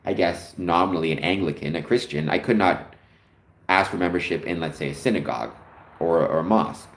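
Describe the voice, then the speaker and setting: A man with a very low voice hosts a casual livestream, talking through explanations and answering viewer comments as they come in. His voice rings in an echoey room with a faint hum of ambience behind him. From Canada. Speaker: livestream host answering chat.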